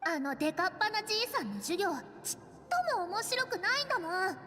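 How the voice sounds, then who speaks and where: A woman's voice: extremely high pitch, lively intonation, racing, pushed and bright, exaggerated voice. A woman voicing a headstrong, adventurous young girl in a game who skips school and dreams of far-off adventures. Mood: sulky. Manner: bratty, eager, dismissive of teachers. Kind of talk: Japanese game voice acting. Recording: long reverb with game music.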